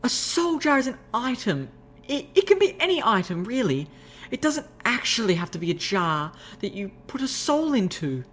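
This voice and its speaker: A woman's voice: on the high side, very animated, moderate pace, a bit bright, voice pushed up. A woman voicing a graceful, refined unicorn mare who speaks in a prim, ladylike way. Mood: cautious. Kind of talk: audiobook reading of fan fiction.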